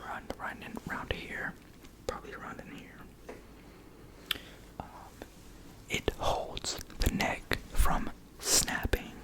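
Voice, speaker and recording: A person whispering an informal ASMR guitar lesson, hesitating and repeating key words. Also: rough recording. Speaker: guitar explainer.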